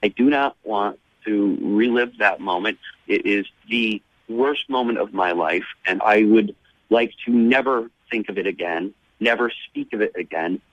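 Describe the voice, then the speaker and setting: A man's voice: fairly low pitch, a little slow, dry recording. A man speaking as a reluctant, defensive caller on a radio show, insistent and aggrieved, over the phone. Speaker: radio call-in guest. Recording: dull band-limited phone call on radio.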